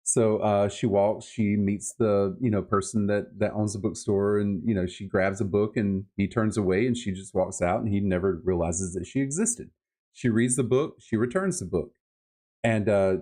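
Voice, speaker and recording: Low-voiced man, enthusiastic book chat. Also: normal speed, warm tone. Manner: enthusiastic, emphatic, colloquial. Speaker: podcast host reviewing books. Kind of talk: casual book-review chat.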